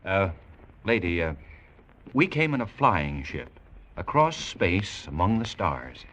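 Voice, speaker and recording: A man's voice: deep voice, sing-song, slow, a bit bright, full character voice. An actor playing an earnest, awestruck spaceman longing for home, in a dramatic old-time radio style. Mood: patient.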